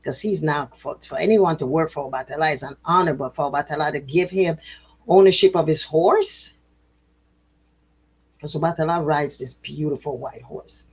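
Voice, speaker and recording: A woman hosting a spiritual program, telling a traditional story in a casual, animated way with quick laughs and self-corrections. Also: sits low, slightly rough, poor audio.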